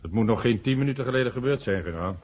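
An actor playing a matter-of-fact police inspector reporting to a superior in a radio drama. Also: male voice, mid-range voice, expressive, racing, slightly rough. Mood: factual. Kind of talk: 1970 Dutch science-fiction radio drama acting. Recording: dull old radio drama recording.

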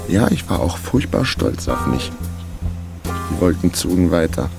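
Radio crime lead: a sardonic, luckless sleuth-narrator, lowish voice, music behind. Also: male voice, sing-song, a little slow, gravelly, heavily acted. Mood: dry, wry. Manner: dry, sardonic. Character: wry, down-on-his-luck male amateur sleuth and narrator. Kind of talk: radio crime drama, first-person narration and dialogue.

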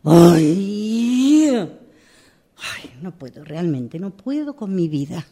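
Woman acting a husky, exasperated, restless woman. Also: on the low side, big pitch swings, drawn-out words, dark tone, exaggerated voice. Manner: sighing, exasperated muttering. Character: frantic, impatient, irritable woman muttering to herself. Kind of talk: improvised radio theatre monologue.

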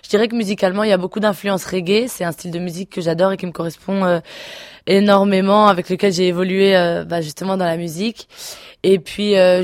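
A woman in her twenties, a singer answering interview questions casually, pausing often with fillers and restarts. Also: lowish voice, darkish tone, no room sound, studio quality. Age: 20s. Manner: hesitant, many fillers and restarts. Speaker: singer guest.

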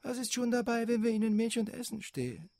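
A woman's voice: on the high side, animated, fast, a little mellow, no room sound, clean studio sound. A woman playing a bossy, streetwise young gang leader in a German radio drama, conspiratorial and commanding. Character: bossy, streetwise older kid leading petty thieves. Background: faint music.

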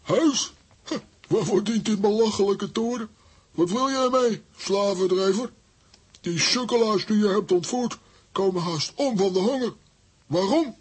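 A protective, bossy man adventurer for a children's audio play. His voice sits high for a man and has a hoarse grain. He blusters and exclaims theatrically. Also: unhurried. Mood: indignant. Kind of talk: children's audio drama acting.

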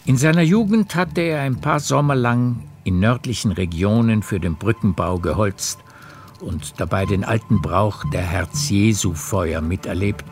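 An actor narrating a literary radio play as a calm storyteller, in a measured, precise way with a gravelly voice. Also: male voice, on the low side, soft background music, lightly acted.

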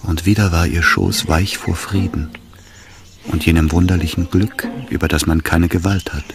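An actor playing a calm literary narrator in a radio drama, reading in a measured, hushed, descriptive way. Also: male voice, low-pitched, vocal fry. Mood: tender, hushed. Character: calm literary narrator of a sensual love story.

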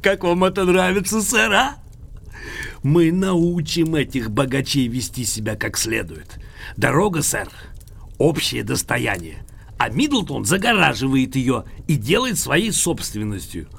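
An actor in an audio drama playing a cranky, boastful, litigious old English gentleman, emphatic, pompous and theatrical, with a creaky, husky voice. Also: mid-range voice, big pitch swings, a little slow, exaggerated voice. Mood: gloating. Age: older.

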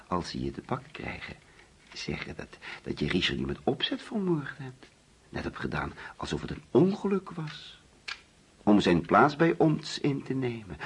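A husky, menacing, controlling father. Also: fairly low pitch, exaggerated voice. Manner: deliberate, coaxing, commanding. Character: grieving, menacing, controlling father with eerie calm. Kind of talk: radio drama acting.

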